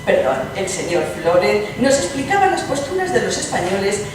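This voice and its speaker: A woman's voice: medium pitch, sing-song, close to an everyday voice. A woman playing a witty aristocratic princess in a dramatized reading, arch and condescending in manner. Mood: cool teasing. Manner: arch, condescending, theatrically drawn-out. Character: witty, worldly aristocratic princess, sharp advocate for women. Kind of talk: dramatized stage reading, radio theatre. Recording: echoey hall from far off, noisy.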